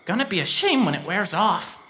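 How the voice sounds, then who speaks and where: A woman's voice: medium pitch, very animated, a little fast, a bit bright, slight background noise. A woman playing a needling, sardonic accomplice in an audio drama. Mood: mocking.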